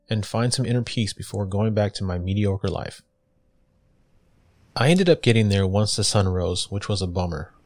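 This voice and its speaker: A man narrating a first-person story in a conversational style, as a guest reader. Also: lowish voice.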